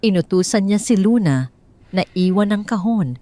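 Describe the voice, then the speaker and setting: A woman's voice: medium pitch, animated, moderate pace, mellow, no room sound. A woman with a Filipino accent reading a scripted story as a storyteller. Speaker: audio drama storyteller.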